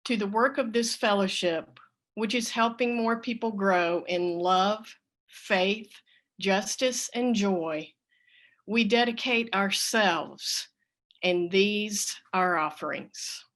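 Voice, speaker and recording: Very slow-spoken woman leading a service. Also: medium pitch, a bit bright. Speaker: worship service leader. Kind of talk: church service announcement and offering dedication.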